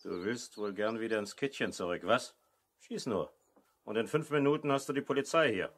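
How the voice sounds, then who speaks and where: An actor playing a shifty, defiant crook who passes as a friendly uncle in a 1970s children's radio play. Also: male voice, lowish voice, moderate pace, fairly bright tone. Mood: cool defiance.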